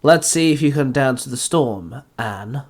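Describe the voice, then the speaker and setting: A man playing a boastful pirate who wields lightning in an audio drama, challenging and forceful. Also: medium pitch, lively intonation, unhurried. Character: bold, boastful male pirate with lightning powers.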